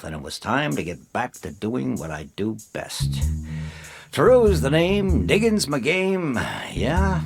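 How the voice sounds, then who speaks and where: Goofy flirty archaeologist in a radio comedy, voice jumps all over, mutters asides, loud music behind. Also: male voice, mid-range voice. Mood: jaunty narrating. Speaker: actor in a radio play. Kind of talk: comic radio drama acting with narration. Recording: loud music bed under the voice.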